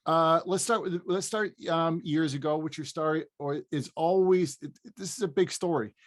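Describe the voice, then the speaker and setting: A man's voice: fairly high pitch, moderate pace. A man in his fifties hosting a podcast, chatting casually and often restarting his sentences. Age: 50s. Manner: rambling, restarts phrases, laughs easily. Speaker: podcast host. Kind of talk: casual interview chat.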